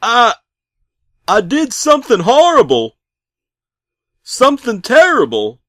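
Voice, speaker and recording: A man's voice: very high voice, wildly swooping pitch, slow pace, fairly bright tone, no room sound, pitched above the performer's own voice, heavily acted. A man voicing a guilt-ridden, fearful caller in a comic horror story, pleading and close to sobbing. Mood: anguished fear. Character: distraught, guilt-ridden male radio caller, fearful and sorrowful.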